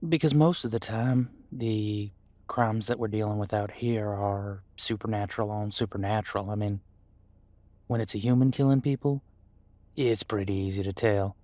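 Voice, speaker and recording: A man playing an easygoing demon homicide detective in an audio roleplay, talking in a casual, folksy, reassuring way with hesitant pauses. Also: lowish voice, fairly even intonation, darkish tone, dry recording, close to an everyday voice. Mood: explaining, matter-of-fact. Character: easygoing male demon homicide detective mentoring new partner. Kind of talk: audio roleplay drama, conversational monologue.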